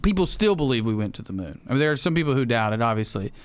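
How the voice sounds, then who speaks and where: A man's voice: medium pitch, sing-song, very fast, clean tone, dry recording. A man co-hosting a casual comedy podcast, debating in colloquial, folksy phrasing. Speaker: podcast co-host.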